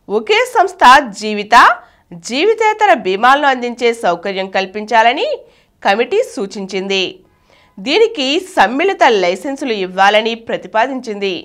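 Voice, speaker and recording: A woman narrator reads a prepared article in a formal, measured way. Her voice sits fairly high and moves up and down in pitch a great deal as she goes through the text. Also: a bit bright. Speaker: narrator reading an article aloud. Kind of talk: scripted narration of a news article.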